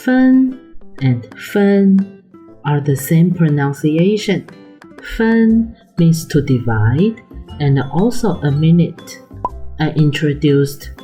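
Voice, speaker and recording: A woman whose first language is Mandarin Chinese, teaching in a careful, clearly spaced scripted tutorial voice. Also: deep voice, very animated, slow pace, dark tone, faint music behind.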